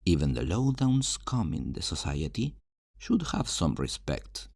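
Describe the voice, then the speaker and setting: A man playing a charming, roguish fantasy thief in an ASMR roleplay, flirtatious, teasing and theatrical in his smooth talk. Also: low voice, very animated, measured pace, darkish tone, no echo, clean studio sound, exaggerated voice. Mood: wry instructing. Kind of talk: ASMR roleplay, scripted character acting.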